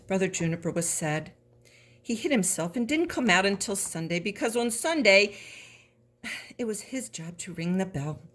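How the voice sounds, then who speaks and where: A woman reading a children's story aloud in an expressive, animated way, as a storybook reader. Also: medium pitch, normal speed.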